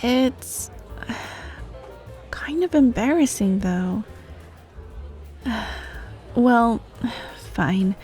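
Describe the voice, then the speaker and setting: A woman playing a friendly, teasing yet bashful popular student, speaking softly and casually to the listener in a wholesome ASMR roleplay. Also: fairly high pitch, expressive, extremely slow, long pauses. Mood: bashful, hesitant. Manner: soft, casual, hesitant, giggly. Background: music, cafe ambience.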